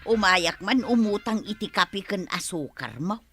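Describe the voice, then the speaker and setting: A woman's voice: mid-range voice, lively intonation, moderate pace, bright, forceful tone, slightly rough, faint music behind. A woman with an Ilocano accent playing a bossy, money-minded village wife in a comedy radio drama, scolding and ordering.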